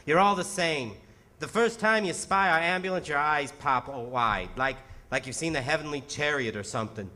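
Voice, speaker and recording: Brash male ambulance driver for radio drama, bright forceful voice, slangy, jaded, teasing. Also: on the high side, normal speed. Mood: jaded, scoffing. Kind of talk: radio theatre acting.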